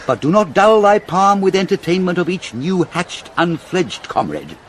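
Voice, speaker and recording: Fussy older father, British accent, declamatory Shakespearean drama, very animated pitch, faint background noise. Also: moderate pace. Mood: bustling, urging. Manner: declamatory, sententious, lecturing. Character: pompous, fussy older father, a royal counsellor. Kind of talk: classical Shakespearean stage-style acting.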